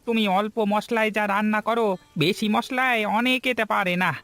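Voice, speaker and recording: A man voicing a kind, hardworking village woodcutter husband in a Bengali cartoon story, earnest and soft-hearted. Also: high voice, fairly even intonation, normal speed, soft background music. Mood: tender, weary.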